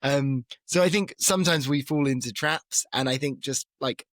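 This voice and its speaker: A man who is a scholar and a guest on a podcast, explaining ideas in a relaxed conversation, with emphatic rises and light laughs. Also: mid-range voice, normal speed, dry recording. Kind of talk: casual conversation.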